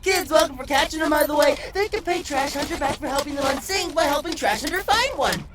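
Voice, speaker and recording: A high, loud scavenger, brash and blustering, who talks in the third person. The audio drama voice comes through heavy noise. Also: female voice, lively intonation, quick pace. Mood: blustering, excited.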